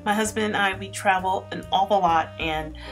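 A woman's voice: mid-range voice, normal speed, faint music behind. A woman vlogger chatting upbeat to her viewers in a voice-over tour. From Florida. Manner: chatty and upbeat, addressing viewers as you guys. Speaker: lifestyle vlogger.

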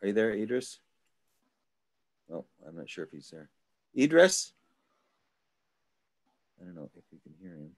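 A man leading a study group over a video call, asking questions in a halting way with many restarts. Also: low voice, big pitch swings, no room sound. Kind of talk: casual group discussion.

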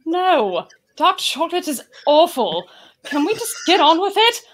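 A woman's voice: very high-pitched, big pitch swings, measured pace, a bit bright, exaggerated voice. A woman playing a bored, scornful young woman adventurer, dismissive, in a comedic table read. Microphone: home video call.

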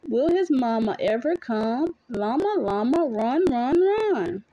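A woman's voice: fairly high pitch, big pitch swings, slow, dark tone, muffled recording. A mother reading a picture book aloud to her young child in a playful, sing-song way, chatting with the child as she goes. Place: small dim bedroom at home.

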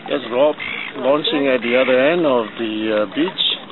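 A man's voice: medium pitch, measured pace. Man narrating kayak outing, windy lo-fi audio. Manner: names paddlers as they pass. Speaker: kayak club member filming the outing. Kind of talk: casual narration while paddling. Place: outdoors on a kayak on a windy estuary. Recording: tinny lo-fi camera audio with loud wind and water noise.

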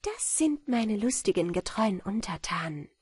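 A woman's voice: mid-range voice, big pitch swings, unhurried, a little mellow, breathy edge, no room sound, studio quality, exaggerated voice. A woman voicing a small, playful and gracious fairy queen in a storybook, in a light, inviting manner. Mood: proud, cheerful. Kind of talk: storybook character reading.

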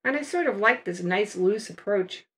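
An older woman narrating a watercolor painting demonstration as a voice-over, explaining each step in a relaxed, unhurried way. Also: medium pitch. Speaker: watercolor art teacher.

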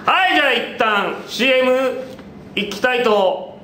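High-pitched young man, lively and bright. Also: a little slow, recorded from a distance, background noise. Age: young adult. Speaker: segment presenter on a live show. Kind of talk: live web show presenting and chat. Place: indoor studio stage. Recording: roomy, off-mic, degraded webcast audio.

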